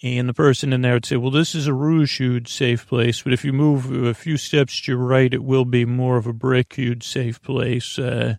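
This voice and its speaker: A man with a creaky, gravelly voice hosting a bedtime podcast in a soothing, halting, rambling monologue that drifts into tangents. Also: mid-range voice, flat delivery, a little mellow, dry recording, clean studio sound. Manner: meandering, halting, stop-and-start, trailing off into tangents; soothing, lulling. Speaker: podcast host.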